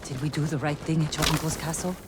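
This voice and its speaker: An actor playing a pragmatic, loyal fighter in a video game, talking in plain, clipped, practical phrases. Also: male voice, fairly high pitch, flat delivery, fast, very noisy, lightly acted. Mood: watchful, earnest. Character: pragmatic male fighter, loyal companion scouting enemies. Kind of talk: video game cutscene acting.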